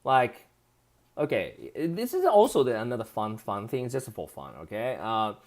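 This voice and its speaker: A man's voice: medium pitch, sing-song, unhurried. A man with a Japanese accent hosting a casual live stream, reading viewer comments aloud and laughing often as he chats.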